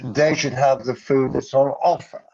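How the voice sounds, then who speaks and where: A man on a panel call who talks haltingly and repeats his words. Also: medium pitch, fairly even intonation, vocal fry, warm tone. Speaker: panel guest. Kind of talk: casual political chat. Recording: muffled lo-fi call audio.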